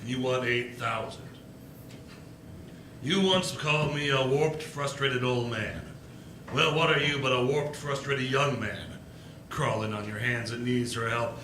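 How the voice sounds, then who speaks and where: A man's voice: mid-range voice, a little slow, sharp tone. A man playing a bitter, miserly old tycoon with a sneering, commanding manner in a live radio play. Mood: scornful. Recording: roomy live stage, faint ambient noise.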